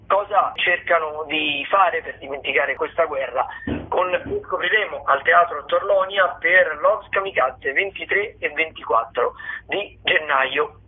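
A man speaks through a narrow, tinny telephone connection. His voice is fairly high and a little husky. He presents theatre listings for radio in a semi-improvised way, like a correspondent calling in. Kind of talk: semi-improvised spoken review of upcoming shows. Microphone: telephone call into a radio programme. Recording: narrow tinny phone line.

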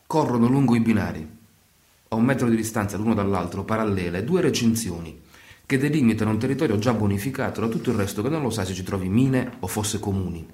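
A man acts a theatrical male storyteller in a radio play. His voice sits fairly low and moves in measured, deliberate phrases. The recording is clearly roomy, with echo around the words. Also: expressive, a little mellow, close to an everyday voice. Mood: measured, wistful. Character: male storyteller and troupe leader, measured, wistful. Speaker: narrator.

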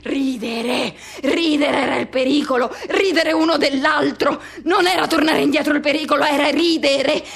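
A woman performing a radio theatre monologue as an anguished, pleading lover recalling a forbidden teenage love, in urgent, halting phrases that insistently repeat. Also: high-pitched, steady tone, vocal fry. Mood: feverish, urgent.

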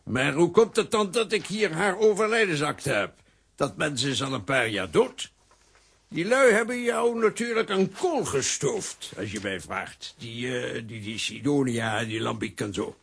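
Gravelly, slow, crooked boss. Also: male voice, medium pitch, lively intonation, a bit bright, exaggerated voice. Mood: sly, scheming. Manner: condescending, sly, chuckling. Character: scheming, crooked male company director, smugly amused. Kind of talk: children's radio play acting.

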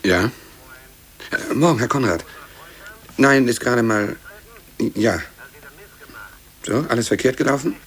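An actor playing an irritable, busy office clerk who grumbles, stammers and counts aloud in a 1950s German radio drama. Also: male voice, medium pitch, lively intonation, unhurried, pauses often, fairly bright tone. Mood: hesitant, deferential. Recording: noisy, clicky old cassette radio recording.

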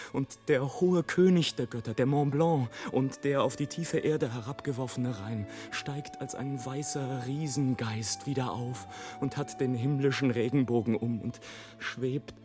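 An actor playing a lone, passionate romantic balloonist in a literary radio drama, declaiming poetically between hushed and impassioned. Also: male voice, medium pitch, lightly acted. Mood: solemn wonder. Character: lone male balloonist, rapturous, wrathful, death-defying romantic. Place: studio radio production.

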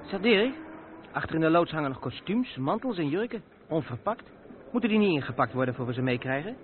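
An actor the playing an eager, inquisitive young trucker's mate in a radio play. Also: male voice, fairly high pitch, sing-song, brisk. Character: Daan, curious young male trucker's mate, eager learner. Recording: tinny, noisy old radio recording.

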